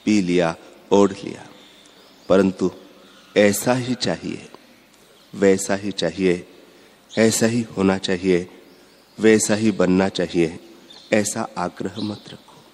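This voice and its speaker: A man giving a measured, instructive spiritual discourse, repeating his points. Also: low voice, steady tone, darkish tone. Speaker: spiritual speaker. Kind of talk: slow devotional discourse. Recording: long hall reverb.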